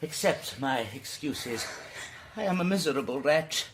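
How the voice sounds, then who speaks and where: Theatrical, volatile eccentric gentleman, wildly swooping voice. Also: a little high, expressive, measured pace, background noise. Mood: self-pitying, anguished. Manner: theatrical, florid, swinging between outbursts and hushed brooding. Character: eccentric, melodramatic, self-pitying legless gentleman, volatile. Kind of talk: radio drama acting.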